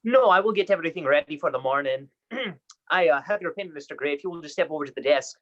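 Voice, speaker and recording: An actor playing a deferential, uneasy young medical assistant in a radio play, speaking politely and a little hesitantly. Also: male voice, fairly high pitch, expressive, fast talker, highs cut off, lightly acted. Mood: nervous politeness.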